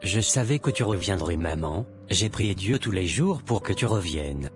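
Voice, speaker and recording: An actor playing a worried teenage son in an animated drama, speaking earnestly and apologetically. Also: male voice, low voice, moderate pace, soft background music.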